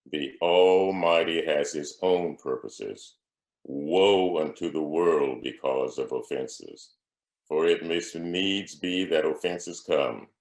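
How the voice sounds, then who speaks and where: A man with an extremely deep voice recites a historic address. He speaks very slowly and gravely, with measured oratorical weight. The room echoes clearly around him. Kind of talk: solemn recitation of a historic political speech. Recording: echoing, reverberant room.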